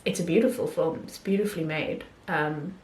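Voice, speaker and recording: A woman chats through her film reviews in a relaxed vlog, often pausing with ums and restarting her sentences. Her voice sits a little low. The recording has a noticeable room echo. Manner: hesitant, many ums and restarts. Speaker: film vlogger. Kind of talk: casual film review talk.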